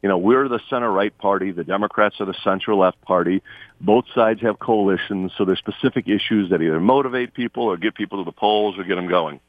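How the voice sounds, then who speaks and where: A man's voice: on the low side, brisk. A man speaking conversationally as a political guest in a radio interview, peppering his points with "you know". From from Lithuania, immigrant. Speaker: political party figure, guest. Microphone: phone call to radio studio. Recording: tinny phone line, roomy echo.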